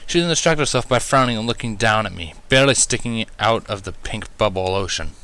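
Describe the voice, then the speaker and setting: A man's voice: on the low side, expressive, a little fast, bright, forceful tone, clear, resonant tone. A man narrating a first-person story expressively, in a wry manner. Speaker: fan fiction reader.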